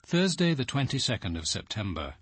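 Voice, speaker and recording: A man playing a cultured diarist narrating a radio drama in a formal, fastidious way. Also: medium pitch, big pitch swings, a bit bright, husky, no room sound. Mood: reflective, appreciative. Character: precise, cultured male diarist recounting events.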